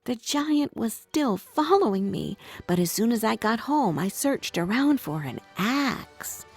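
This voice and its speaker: Animated woman storyteller with slight creak. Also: a little high, measured pace, faint music behind. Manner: expressive storytelling for toddlers. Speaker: children's storyteller. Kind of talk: scripted bedtime story narration in a young boy's first person.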